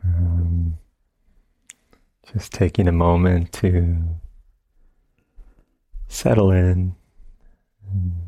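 A man with a low, very mellow voice guides a meditation. He speaks slowly and gently, leaving long pauses between short phrases, in the manner of a patient teacher. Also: little pitch movement, husky, dry recording. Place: retreat center meditation session.

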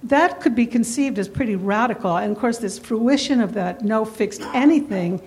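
A woman's voice: a little high, moderate pace, a little mellow, slight background noise, low-quality recording. A woman from the audience, a Zen practitioner, asking a question in an earnest, self-deprecating way. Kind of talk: question from the floor.

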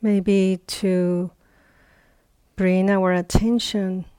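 A woman teaching guided meditation, speaking in short, unhurried phrases with long pauses between them. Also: medium pitch, level tone, mellow, clean tone, dry recording, studio quality.

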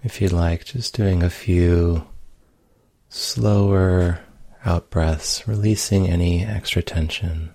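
Very slow, deep-voiced male meditation guide. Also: fairly even intonation. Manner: gentle, unhurried, long pauses. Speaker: meditation teacher.

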